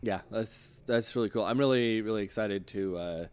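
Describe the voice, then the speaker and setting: A man with a fairly deep, smooth and clear voice hosts a livestream. He speaks in a casual, conversational interview style, working his way toward questions for his guest. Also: normal speed, darkish tone.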